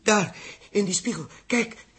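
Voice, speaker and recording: Troubled grown man, very husky, slow halting delivery, muttering, sixties radio drama. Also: high-pitched, a bit bright, full character voice. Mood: horrified. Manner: halting, muttering, repeats himself. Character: troubled, hesitant grown man Joost, uneasy space traveller. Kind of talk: 1960s radio drama acting. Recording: muffled-voiced old 1960s radio recording.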